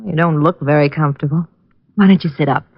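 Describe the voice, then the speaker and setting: Concerned young woman, lowish voice. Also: fast, no echo. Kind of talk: old-time radio detective drama acting. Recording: muffled vintage radio recording, music behind.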